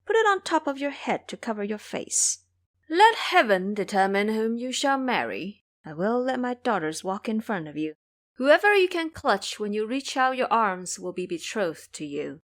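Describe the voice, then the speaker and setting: A woman performs a matronly mother-in-law from an audio drama. She is shrewd and bustling, giving fussy commands with a very lively, varied pitch. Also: moderate pace. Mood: fussy, scheming.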